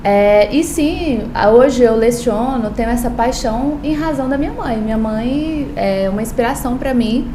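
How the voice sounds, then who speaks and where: A woman's voice: on the high side, normal speed, few pauses, reverberant, noisy background. A woman from Brazil, a lawyer and law professor, telling her story earnestly in a podcast interview, with frequent hesitations. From Brazil, born in Paraíba, raised in Brasília.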